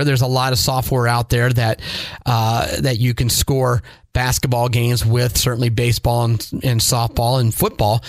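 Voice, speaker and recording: A man's voice: medium pitch, no room sound, studio quality. A middle-aged man hosting a sports podcast, talking in a folksy, conversational storytelling way. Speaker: podcast host, high school sports broadcaster.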